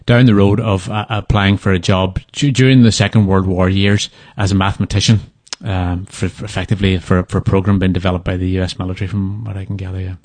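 A man's voice: low-pitched, a little mellow, no room sound. A man answering interview questions, hesitant, with many restarts and fillers.

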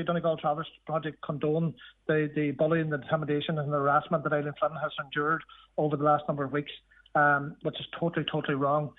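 Male caller, phone-line sound, quick and flat, earnest with fillers. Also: a little high. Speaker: caller from a community organisation. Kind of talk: radio phone contribution.

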